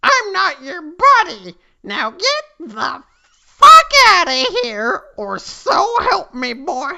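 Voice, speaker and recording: A man's voice: very high-pitched, huge pitch swings, slow pace, gravelly, sharp tone, muffled recording, pitched above the performer's own voice, exaggerated voice. A man voicing a foul-mouthed, hot-tempered young shrine maiden in a comic fanfic reading. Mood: angry, threatening. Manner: brash, swearing.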